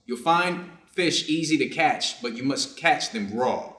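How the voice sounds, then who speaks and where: A man's voice: medium pitch, animated, measured pace, bright, forceful tone. A man playing a reserved, conflicted Japanese doctor in a staged reader's theater drama, formal and guarded in his phrasing. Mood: brisk, instructing. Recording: roomy, echoing space.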